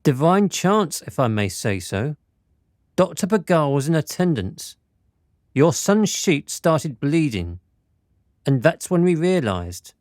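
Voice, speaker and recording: An actor playing a courteous, precise physician in a period radio drama, speaking in formal, measured phrases. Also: male voice, a little high, big pitch swings, darkish tone, dry recording, studio quality. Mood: grave, explanatory.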